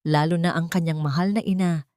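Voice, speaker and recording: Narrator as greedy scheming wife, female, sugary, gushing, insincere, raised pitch, dry recording. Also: fairly even intonation, a little fast, darkish tone, no room sound, lightly acted. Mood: gushing, fawning.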